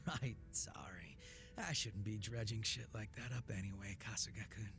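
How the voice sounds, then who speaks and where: Chill tipsy mob boss in a game cutscene, creaky voice, slangy drawl, music behind him. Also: male voice, lowish voice, normal speed, fairly bright tone. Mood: sheepish. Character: easygoing, tipsy gangster boss, wistful and laid-back. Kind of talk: video game cutscene dialogue.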